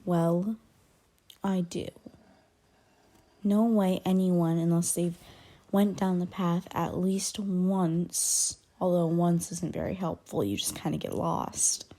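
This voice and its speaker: A woman acts out a young female werewolf in an intimate ASMR roleplay. Her voice is mellow, lowish and breathy, often sinking toward a whisper. She speaks slowly and haltingly, blunt and guarded but grudgingly caring. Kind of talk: ASMR roleplay, intimate one-sided character dialogue.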